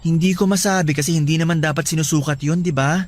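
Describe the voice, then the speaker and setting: A man playing a gentle, puzzled, devoted young boyfriend in a Filipino radio drama, coaxing and hesitant. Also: on the high side, steady tone, fast talker, lightly acted. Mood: stunned, hesitant.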